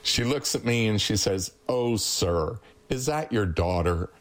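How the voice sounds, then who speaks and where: This is a seventy-year-old man, a therapist guest on a radio talk show, spinning an anecdote at an unhurried, leisurely pace. His voice rises and falls freely, and he keeps breaking into chuckles as he teases the host. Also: lowish voice, animated. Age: 70s. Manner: chuckling storyteller, teasing. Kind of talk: casual radio chat.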